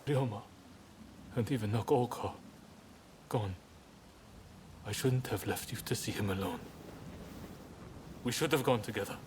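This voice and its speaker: A grave samurai leader played by a man with a fairly low voice. He speaks deliberately and sternly, as in a game cutscene, over clear background ambience. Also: a little hiss or hum, natural voice. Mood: mournful, resolute. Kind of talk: dramatic game cutscene dialogue.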